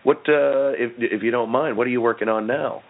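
A man hosting a podcast in a casual, halting, self-deprecating chat style. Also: mid-range voice. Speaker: podcast host. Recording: muffled phone-like sound.

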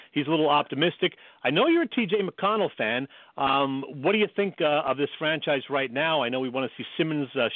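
A man's voice: on the high side, dry recording. Middle-aged man, phone-like radio sound. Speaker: sports radio co-host. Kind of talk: sports talk with scripted commentary segments.

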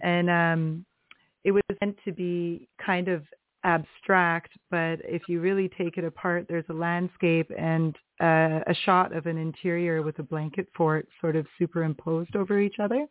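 A woman's voice: fairly low pitch, steady tone, moderate pace, dry recording. Woman poet on a tinny phone line. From Canada. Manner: thoughtful, searching, many fillers. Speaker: poet guest. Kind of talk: casual interview talk.

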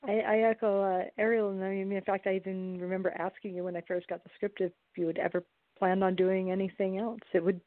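Woman chatting, lowish voice, measured, dry sound like she's on a phone. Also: dry recording. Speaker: radio play cast member. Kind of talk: casual group discussion. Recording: dry, thin phone-like call audio.

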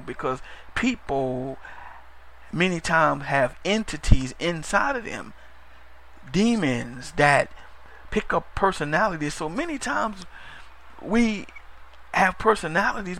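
A bright, forceful male preacher with a somewhat high voice. He repeats his points, calls for an amen, and now and then trips over his words or rushes ahead. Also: lively intonation, a little hiss or hum. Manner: emphatic, repeats phrases, call-and-response "Amen?"; stumbles over words, drifts into fast talk. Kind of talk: broadcast sermon.

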